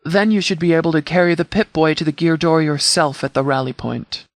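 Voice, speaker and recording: An actor playing a stern, composed sheriff of a post-apocalyptic militia in a video game, speaking in a measured, formal, authoritative way with clipped orders. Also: male voice, fairly high pitch, no room sound, close to an everyday voice. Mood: stern, businesslike. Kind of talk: scripted video game dialogue.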